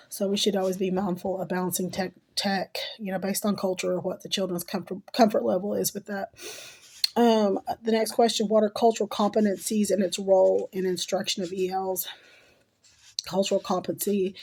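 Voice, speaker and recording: A middle-aged woman who is ill and losing her voice answers course questions in a halting way, with many ums and restarts. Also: medium pitch, dark tone. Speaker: teacher and graduate student. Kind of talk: recorded class assignment answers.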